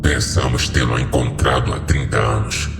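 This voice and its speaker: An ancient fallen lord of demons speaks from a cavernous, long reverb. The voice is abyssally low and full of gravel, solemn and commanding, every phrase a stately pronouncement for a horror audio story. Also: male voice, fairly even intonation, moderate pace. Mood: solemn, revealing. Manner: solemn, stately, portentous delivery. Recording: heavily reverberant voice over music.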